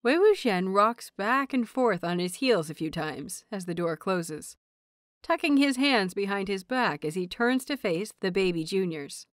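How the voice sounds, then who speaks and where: A woman reading scripted fiction aloud as an audiobook narrator, in an expressive, measured storytelling manner. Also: medium pitch, no echo, studio quality.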